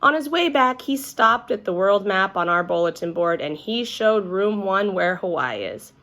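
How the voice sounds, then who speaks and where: A woman storyteller narrating a children's book aloud in an expressive read-aloud style. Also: medium pitch, normal speed.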